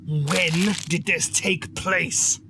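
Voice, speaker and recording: An actor playing a haughty, sardonic phantom creature of the opera house in an audio drama, theatrical and disdainful. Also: male voice, high-pitched, fairly even intonation, extremely slow, background noise. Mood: suspicious, curt.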